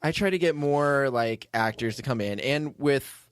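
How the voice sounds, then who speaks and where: A man's voice: mid-range voice, measured pace, clear, resonant tone, dry recording, studio quality. A man hosting a casual behind-the-scenes podcast chat in a rambling, joking, self-deprecating way. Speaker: podcast host, writer and producer of an audio drama.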